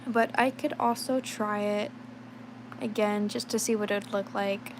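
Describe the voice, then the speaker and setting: Slightly raspy sick young woman streamer. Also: mid-range voice, fairly even intonation, measured pace, noisy background. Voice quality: slight rasp. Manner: rambling, thinks aloud, many fillers. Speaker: livestreaming digital artist. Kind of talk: casual chat while drawing on stream.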